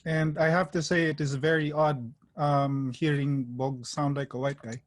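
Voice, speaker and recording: A man talks briskly and wryly on a video call. His voice is slightly high for a man, in a dry, close sound. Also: level tone, dry recording. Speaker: discussion participant. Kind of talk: casual video call chat.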